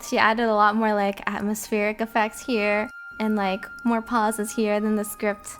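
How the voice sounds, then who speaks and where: A woman chatting casually as a voice actor, telling stories in a giggly, animated manner with quoted asides. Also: mid-range voice, unhurried, faint music behind. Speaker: voice actor, podcast narrator. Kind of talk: casual chat.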